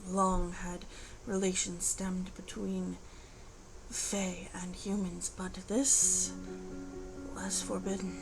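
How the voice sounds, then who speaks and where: A woman voicing an ancient female dragon who patiently tells an old tale to a young human, unhurried and pausing, in an ASMR roleplay style. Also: lowish voice, flat delivery, poor audio. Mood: wistful, grave. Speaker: roleplay performer. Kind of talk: slow ASMR roleplay storytelling. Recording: music under the voice. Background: prominent instrumental music with guitar.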